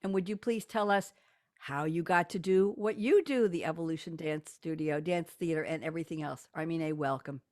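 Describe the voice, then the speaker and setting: A woman's voice: deep voice, moderate pace, darkish tone, no echo, clean studio sound. A woman radio and TV host interviewing guests over a video call, talking in an effusive, enthusiastic, chatty way.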